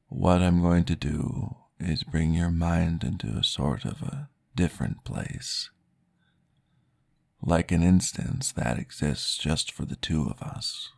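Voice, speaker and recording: Man as formal male vampire host, very low voice, slow and unhurried, polite, soft-spoken. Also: gravelly, husky, dry recording, studio quality, exaggerated voice. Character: courteous, formal male vampire guiding a therapy session. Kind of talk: ASMR roleplay.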